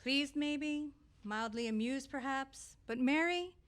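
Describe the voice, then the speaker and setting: A woman's voice: sits high, takes it slowly. A woman playing a forthright, reproving outlaw in a comic radio play. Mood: sardonic. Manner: pointed, reproving. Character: forthright, sardonic female outlaw. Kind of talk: radio-play comedy acting.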